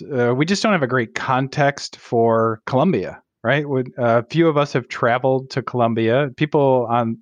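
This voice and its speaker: A man's voice: mid-range voice, no echo, muffled recording. A man hosting an interview podcast, welcoming listeners and asking a guest long questions. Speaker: podcast host.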